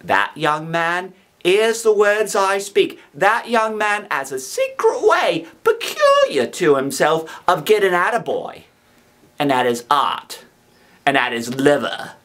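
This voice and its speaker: A man acting a slow, menacing convict. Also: high-pitched, sing-song, a bit bright, voice pushed up, exaggerated voice. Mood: sinister, menacing. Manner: slow, emphatic rustic speech with nonstandard grammar. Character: menacing, rough escaped convict, gruff and threatening.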